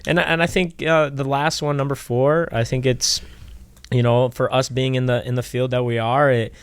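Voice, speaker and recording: A man co-hosting a podcast as a basketball trainer, talking loudly with lots of fillers and restarts. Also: mid-range voice, flat delivery, moderate pace, clean tone, no echo. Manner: loud, rambling with many fillers and restarts. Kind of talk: casual podcast chat.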